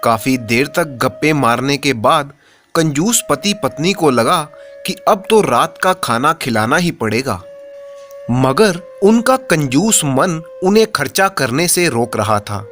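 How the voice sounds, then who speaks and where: Male storyteller for comic folk-style tale, slightly high pitch, very measured pace, light music bed. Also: expressive, a bit bright. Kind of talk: scripted comic moral story narration.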